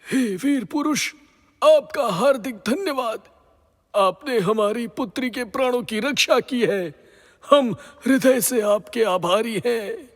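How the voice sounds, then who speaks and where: A man plays a stately serpent king in a mythological audio drama. His high-pitched voice is formal and declamatory, with slow, ceremonious phrasing that rings in a long hall reverb. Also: expressive, fairly bright tone, full character voice. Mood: grateful, courteous. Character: stately serpent king and gracious, grateful father.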